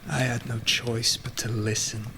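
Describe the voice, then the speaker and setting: An actor playing an anguished, guilt-ridden god of the underworld in a radio play, with halting, emotionally charged delivery. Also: male voice, medium pitch, level tone, creaky, background noise. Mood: guarded, bitter. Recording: music behind the voice.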